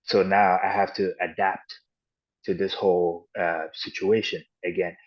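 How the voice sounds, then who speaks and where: A man raised in Ghana and the United States, a podcast guest recounting his childhood in a halting, reflective way with frequent restarts. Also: sits low, level tone. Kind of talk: personal storytelling in an interview. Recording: dull lo-fi audio.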